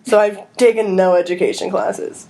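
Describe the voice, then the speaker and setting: Young female student co-host, average pitch, loose rambling chat. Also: fairly even intonation, moderate pace, warm tone, rough recording. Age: young adult. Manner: rambling, frequent "like". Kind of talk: casual chat.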